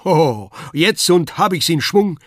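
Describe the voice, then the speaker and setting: A clownish wooden puppet from a storybook, pitched high above everyday speech. He exclaims and whines in rustic dialect, a lively little rascal with a dry, close sound. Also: measured pace, no room sound. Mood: boisterous, boastful. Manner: exclaiming, whining, rustic southern dialect diminutives.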